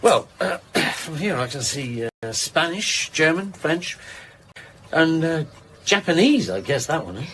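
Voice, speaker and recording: A man as a detective sergeant in a radio drama, courteous and observant. His slightly high voice moves with lively variation as he probes hesitantly. There is faint ambience behind him. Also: a little slow, a little hiss or hum. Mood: polite, probing. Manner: deferential, hesitant, quietly probing. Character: polite, observant male police detective sergeant. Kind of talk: naturalistic radio drama acting.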